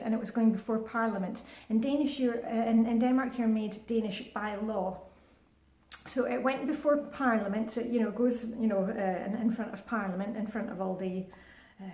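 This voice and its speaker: A woman's voice: fairly high pitch, a little mellow. Chatty woman in her fifties, echoey room. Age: 50s. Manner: chatty, upbeat, with many fillers and restarts. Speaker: vlogger. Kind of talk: casual vlog chat. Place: indoors at home, with a short outdoor clip in the rain.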